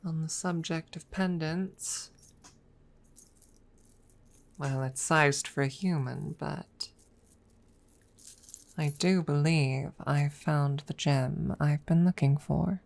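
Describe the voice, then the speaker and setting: Casting: a woman as a guarded, haughty female dragon for ASMR roleplay; gravelly low register, formal deliberate phrasing, breathy and near-whispered. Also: a little mellow, heavily acted. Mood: coy, tentative. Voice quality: breathy close murmur, often dropping to a whisper. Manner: formal, deliberate, hesitant, with long pauses. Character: proud, guarded female dragon secretly fond of her rival. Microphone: close microphone.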